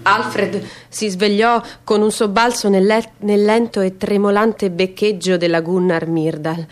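A woman's voice: medium pitch, fairly even intonation, a little slow. A woman narrating a piece of fiction in a dramatic, theatrical reading style. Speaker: stage reader, narrator. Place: live radio theatre reading.

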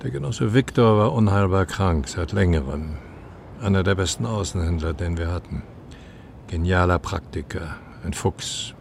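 An actor playing a courtly, probing East German professor who talks in a formal, insinuating way in a radio drama. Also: male voice, low voice, normal speed, vocal fry, a little hiss or hum.

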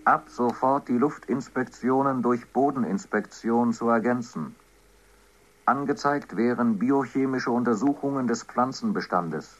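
A muffled film soundtrack carries an official survey narrator, played by a man. He is medium-pitched, formal and detached, in radio drama style. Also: unhurried, natural voice. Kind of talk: scripted documentary narration within a radio drama. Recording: dull, band-limited film soundtrack.

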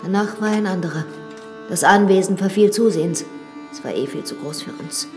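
An actress playing a frank, self-assured young widowed lady in a radio drama, telling things in a measured, confiding way. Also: medium pitch, darkish tone, natural voice. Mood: wistful, confiding. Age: young adult. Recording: studio drama with reverb and music.

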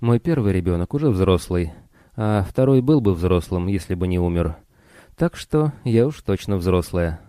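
A man's voice: sits low, lively intonation, normal speed, mellow, dry recording, clean studio sound. A man voicing a frank, curious starship pilot, a woman of almost twenty, earnest and matter-of-fact, in a science fiction audiobook.

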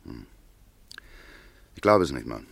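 An actor in a 1950s German radio drama playing a troubled, stubborn country doctor and husband who sounds gruff and evasive. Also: male voice, a bass voice, very animated, unhurried, no room sound, heavily acted. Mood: resigned, defensive.

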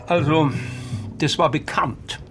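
An actor playing a courteous male crime writer in a radio play, speaking in measured, educated, formal phrases. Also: fairly high pitch, steady tone, music in the background. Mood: challenging.

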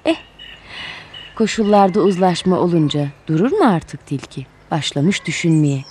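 A woman telling a children's fairy tale in expressive, scripted narration. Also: lowish voice, moderate pace, a little hiss or hum. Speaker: children's storyteller.

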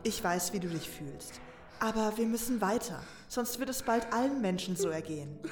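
A woman's voice: medium pitch, lively intonation, normal speed, lightly acted. A woman playing a resolute, comforting female warrior in an audio drama, speaking in an earnest, reassuring way. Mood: gently reassuring. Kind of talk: German fan audio drama acting. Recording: roomy echo, music underneath.

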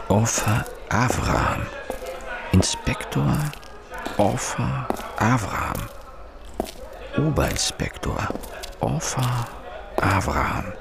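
An actor playing a weary, dismissive middle-aged police inspector in a radio drama, deadpan and brusque. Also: male voice, mid-range voice, creaky, heavily acted. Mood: musing, ironic. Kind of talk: radio drama acting with inner monologue.

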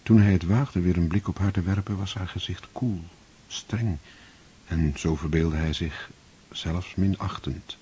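A male narrator reading a literary story in a measured, scripted way. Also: deep voice, mellow, slightly rough. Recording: dull lo-fi recording.

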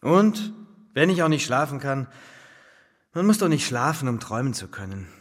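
A man's voice: mid-range voice, sing-song, moderate pace. An actor playing a penniless, dreamy garret lodger in a radio play, wry, philosophical and impassioned in his speech. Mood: defiant, dreamy. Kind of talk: German radio play acting. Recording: long reverberant room.

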